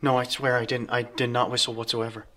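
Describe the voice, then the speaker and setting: A young man hosts a casual paranormal investigation in a cave. His voice sits at a middle pitch, and he speaks earnestly, swearing here and there. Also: level tone, fast talker, music in the background. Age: young adult. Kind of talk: casual ghost-hunting vlog talk. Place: inside a dark cave at night.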